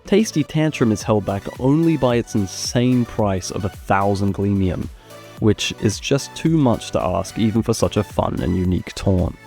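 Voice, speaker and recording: A man narrating scripted gaming ranking commentary in a measured, opinionated, critical way. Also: lowish voice, lively intonation, darkish tone. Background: background music.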